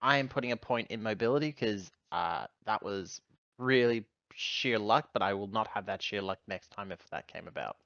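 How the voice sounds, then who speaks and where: A man's voice: on the low side, normal speed, a bit bright, dry recording, muffled recording. A man talking casually and bluntly as a player in a tabletop game.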